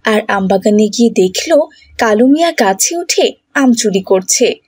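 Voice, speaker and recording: A woman's voice: on the high side, animated, unhurried, a little mellow, breathy edge, no room sound, clean studio sound. A woman narrating a scripted children's cartoon story.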